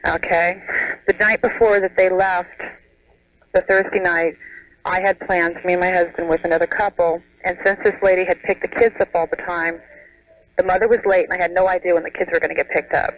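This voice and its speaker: A woman's voice: lowish voice, fairly even intonation, fairly quick, no echo. American female radio caller, earnest and explanatory, muffled telephone sound. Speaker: caller, home daycare operator. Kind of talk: casual phone-in explanation. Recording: muffled phone line on radio.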